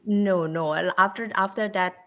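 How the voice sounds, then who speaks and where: A woman's voice: medium pitch, steady tone, normal speed, bright, forceful tone. A woman in her forties from Thailand, a native Thai speaker, talking as a guest in a casual interview, hesitant, with many fillers and restarts.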